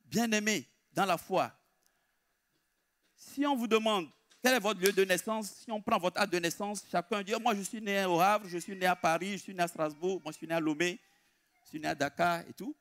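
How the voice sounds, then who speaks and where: A man preaching a sermon in an emphatic, deliberate way, repeating his key phrases. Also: sits high, a bit bright.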